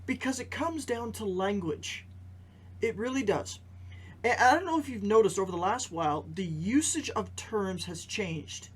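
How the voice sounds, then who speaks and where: A man with a notably high-pitched voice hosts a commentary podcast. He works through news in a conversational way, putting questions to the listener and repeating key phrases for emphasis. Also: animated, moderate pace, husky. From Canada. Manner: rhetorical questions and emphatic repetition. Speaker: podcast host.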